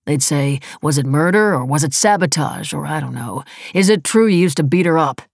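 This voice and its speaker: A woman doing a cool, calculating man, low and husky. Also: lively intonation, normal speed, no echo, studio quality, full character voice. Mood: bitter, controlled. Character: cool, calculating, wealthy widowed man, controlled and needling.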